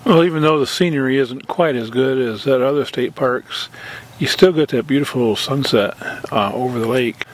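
A man from Michigan casually narrating a camping trip outdoors, relaxed and rambling with lots of uhs, recorded on a handheld camera. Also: mid-range voice, moderate pace. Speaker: RV camping vlogger. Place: outdoors at a lakeside campground.

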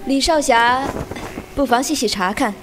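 A woman's voice: high-pitched, unhurried, noisy background. A woman playing a demure, courteous young wife in a wuxia audio drama, formal and genteel.

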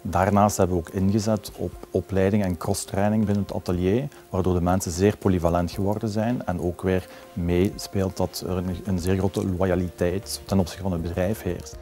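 Deep, flat, mellow Flemish executive. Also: male voice, moderate pace, faint music behind. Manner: confident, promotional phrasing. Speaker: company executive. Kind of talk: corporate interview. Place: office with glass wall. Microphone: lapel mic.

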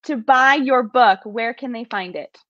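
A woman's voice: a little high, measured pace, bright, forceful tone, no room sound. A woman in her thirties hosting a casual chat in an upbeat, smiling way. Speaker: host. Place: indoor room at home. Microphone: video call microphone. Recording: slightly dull video call audio.

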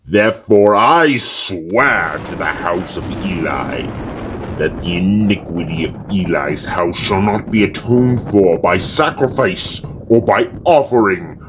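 A man voicing God pronouncing judgment, grave, solemn and deliberate, in a Bible audio drama. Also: deep voice, lively intonation, background noise. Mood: grave pronouncement.

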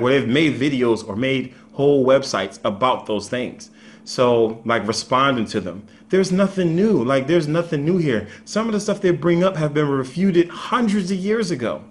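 This is a man in his thirties with a medium-pitched voice. He talks casually and without a script, in the way of a video commentator. He argues his case and restates phrases for emphasis.

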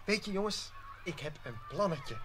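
A man's voice: high voice, lively intonation, moderate pace, a bit bright, slight background noise. A man playing a friendly, fun-loving schoolteacher in a children's audio play. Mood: jolly, enthusiastic.

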